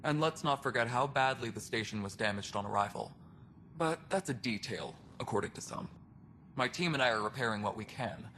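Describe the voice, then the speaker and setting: A man's voice: medium pitch, brisk, pushed and bright, slight background noise, lightly acted. Blunt, world-weary alien played by a man. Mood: grim, sardonic. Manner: blunt, dry, sardonic. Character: gruff, world-weary male krogan alien superintendent of a space station. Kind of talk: video game cutscene dialogue.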